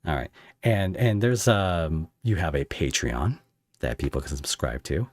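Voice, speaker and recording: A man hosting a casual podcast interview, asking halting, rambling questions that double back on themselves. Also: rumbling low, lively intonation.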